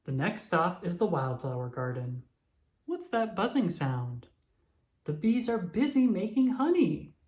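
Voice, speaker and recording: A woman reading a children's storybook aloud with expressive, animated storytelling and emphatic exclamations. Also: on the low side, unhurried. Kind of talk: scripted read-aloud narration for young children. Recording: roomy, echoing sound.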